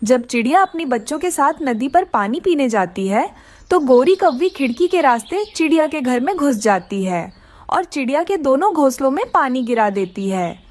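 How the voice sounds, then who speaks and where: A woman narrator reads a scripted children's story. Her voice is high and very animated in pitch, recorded close and dry. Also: moderate pace, no room sound.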